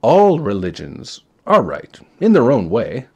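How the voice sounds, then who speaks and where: A kindly, ageless God of a storybook, acted by a man. He talks slowly in a mid-pitched, very expressive voice, offhand and reassuring about the biggest matters. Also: gravelly, husky, muffled recording, exaggerated voice. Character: casual, matter-of-fact, kindly God, ageless authority figure. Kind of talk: storybook character voice.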